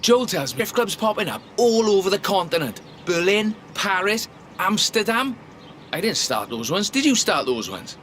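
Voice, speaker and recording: A man's voice: high voice, big pitch swings, moderate pace, a bit bright, a little hiss or hum. A man playing a breezy, self-satisfied car mechanic and drift club leader in a video game, with a wry, boastful manner. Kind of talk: video game character dialogue.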